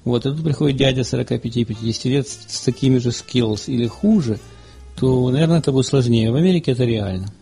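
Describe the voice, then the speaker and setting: This is a man hosting a casual chat show. His voice is gravelly and husky, with a mellow, dark tone. He talks in a measured, unhurried way. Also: medium pitch, faint music behind. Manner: measured, reads out numbered listener questions. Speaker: podcast host.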